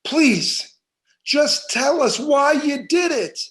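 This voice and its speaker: A man performs a hard-nosed, scornful detective in a crime drama interrogation. His voice sits high for a man and he speaks slowly, leaning into each accusation with sarcasm. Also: lively intonation, a bit bright, full character voice. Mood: pressing, accusing. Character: hard, scornful, bullying male police detective. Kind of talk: dramatic screenplay table read.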